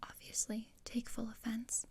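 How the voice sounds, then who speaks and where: A woman voicing a gloomy, sardonic teenage outcast girl in a whispered ASMR roleplay, speaking in a deadpan, haughty, breathy whisper. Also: a little high, unhurried, lightly acted. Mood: deadpan, suspicious.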